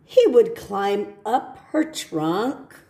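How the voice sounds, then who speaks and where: A woman reading a children's storybook aloud, with simple, deliberate phrasing and short asides for young listeners. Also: mid-range voice, very animated, mellow, reverberant. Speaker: storybook reader. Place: indoor room at home.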